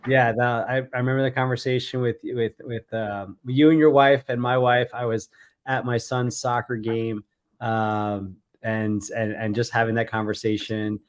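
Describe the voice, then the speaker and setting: A man's voice: mid-range voice, flat delivery, moderate pace. A man hosting an interview show, speaking with enthusiasm and often repeating himself. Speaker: host.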